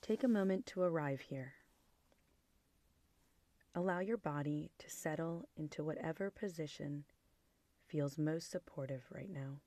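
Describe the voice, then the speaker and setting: Female meditation guide: mellow, unhurried, soothing delivery, soft near-whispered endings. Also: low voice, dry recording. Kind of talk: guided body scan meditation.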